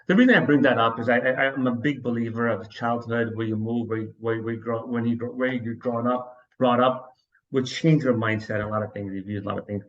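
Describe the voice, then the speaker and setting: A man's voice: mid-range voice, steady tone, a little fast, a bit bright. A man hosts a casual podcast chat. He rambles and often restarts his sentences mid-thought, and his voice comes through slightly dull and muffled. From New York, US. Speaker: podcast host.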